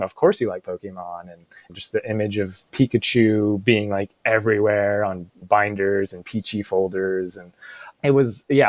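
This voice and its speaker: Man in his thirties, American accent, low voice, dry close sound, casual reflective chat, halting phrasing. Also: a little mellow, dry recording. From Seattle suburbs, United States. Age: 30s. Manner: halting, searching for words, frequent "like". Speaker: podcast co-host, bookstore manager.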